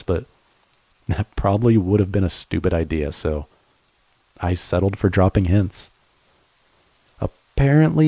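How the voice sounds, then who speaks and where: A man playing a devoted friend turned lover in an intimate romantic audio roleplay, speaking earnestly and haltingly to the listener. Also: sits low, warm tone, no echo. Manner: halting, earnest, with stammered repeats.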